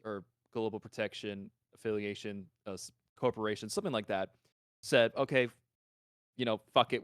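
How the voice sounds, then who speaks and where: A man co-hosting a podcast, talking casually and informally in an animated way. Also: lowish voice, clean tone, no room sound, clean studio sound. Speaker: podcast co-host.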